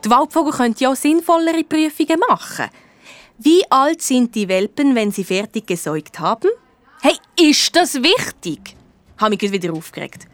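A woman's voice: sits high, very animated, moderate pace, soft background music. A young woman with a Swiss German accent playing a feisty schoolgirl detective narrating her case in a radio play. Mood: indignant. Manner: indignant, colloquial.

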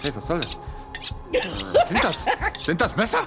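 An actor playing a frightened young circus magician held captive in a horror audio drama, pleading and bewildered. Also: male voice, high voice, huge pitch swings, normal speed, full character voice. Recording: music behind the voice.

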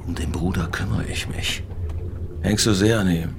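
An actor playing a terse, controlled and menacing gang leader in a radio crime drama. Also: male voice, low voice, moderate pace, vocal fry, background noise. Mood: quietly threatening. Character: menacing, self-possessed male leader of a criminal gang. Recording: music behind the voice.

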